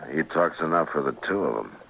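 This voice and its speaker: Terse, watchful American lawman, extremely low husky voice, dull vintage radio western recording. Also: lively intonation, fairly quick, fairly bright tone, a little hiss or hum, heavily acted. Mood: grim, resigned. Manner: laconic, low-key. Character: weary, terse, watchful frontier marshal. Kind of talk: 1950s American radio drama acting.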